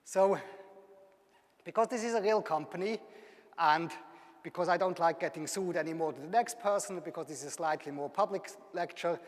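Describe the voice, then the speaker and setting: A man's voice: sits high, moderate pace. A man with a Swiss German accent giving a public lecture, telling stories with dry humour. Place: large lecture hall. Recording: hall reverb.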